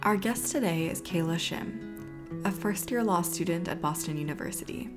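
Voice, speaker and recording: A woman's voice: fairly low pitch, normal speed, music in the background. A woman hosting a podcast, reading a scripted opening and then asking her guest questions in an encouraging way. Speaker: podcast host.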